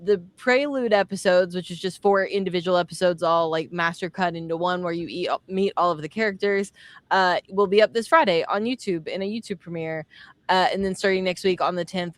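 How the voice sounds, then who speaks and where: A woman's voice: fairly low pitch, fairly even intonation, a little fast, no room sound. A woman player signs off an actual play show, upbeat and chatty as she lists her other shows. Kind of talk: casual show sign-off.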